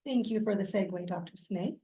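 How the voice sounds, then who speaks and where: Mujer médica ronca, voz suave. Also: female voice, mid-range voice, brisk, dark tone. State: hoarse from laryngitis. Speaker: physician presenter. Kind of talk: educational presentation.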